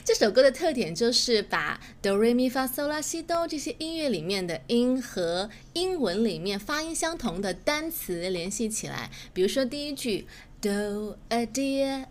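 A woman's voice: a little high, slight background noise. A native Chinese-speaking woman giving a chatty, explanatory English lesson, reading phrases aloud and glossing them. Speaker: English teacher and podcast host.

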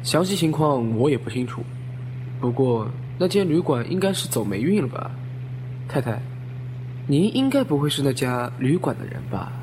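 A man's voice: medium pitch, big pitch swings, moderate pace, natural voice. A man playing a friendly, gossipy taxi driver in a Chinese audio drama, conversational and deferential. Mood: chatty, curious. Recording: vehicle hum behind the voice.

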